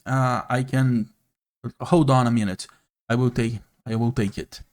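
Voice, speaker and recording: A man from Brazil with a Brazilian Portuguese accent, a non-native English speaker, hosting an unscripted monologue straight to camera, earnest and slow with frequent pauses. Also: medium pitch, fairly even intonation. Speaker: YouTube channel host. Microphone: camera mic, speaking to camera.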